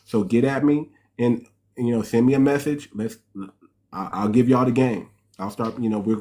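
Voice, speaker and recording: A man's voice: medium pitch, darkish tone. A man hosting a live call-in talk room, plain-spoken, moving from plugging merch to preaching. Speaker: host.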